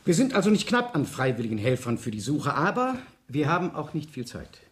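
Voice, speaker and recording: An actor playing a courteous police superintendent in a 1970s radio crime drama, formal and brisk but awkward on delicate matters. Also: male voice, fairly high pitch, sing-song. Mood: brisk, welcoming.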